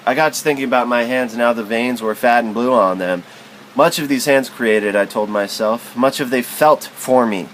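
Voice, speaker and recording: A man's voice: mid-range voice, normal speed, fairly bright tone, rough recording. Man musing aloud over background noise. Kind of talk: spoken-word reading of literary prose.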